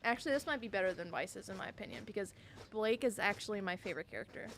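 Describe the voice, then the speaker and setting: Enthusiastic woman chatting, lively intonation. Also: medium pitch, quick pace, slight background noise. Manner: enthusiastic, informal. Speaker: co-presenter on a game showcase. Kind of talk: casual chat.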